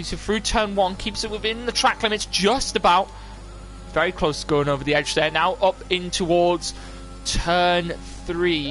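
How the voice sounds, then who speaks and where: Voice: medium pitch, a bit bright. A commentator giving live play-by-play of an online motor race on a stream. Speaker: esports racing commentator.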